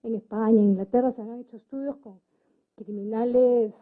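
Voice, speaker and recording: High-ish female debater, phone-like sound. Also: fairly even intonation, fairly quick. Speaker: debate participant. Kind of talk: public debate remarks, explanatory. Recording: muffled narrow phone-like sound.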